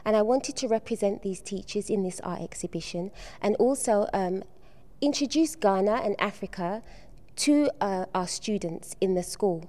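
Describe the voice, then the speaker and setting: A soft-spoken woman in her middle years, explaining a school art exhibition she organized. Her voice is mellow and warm, and she pauses often with ums as she gathers her thoughts. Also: medium pitch. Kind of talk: on-location TV interview.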